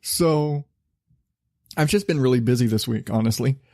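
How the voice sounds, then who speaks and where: A man hosting his own podcast, talking in a loose, rambling, self-deprecating way with a relatively low voice, laughing and swearing casually. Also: moderate pace, dark tone, no echo, studio quality. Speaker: podcast host.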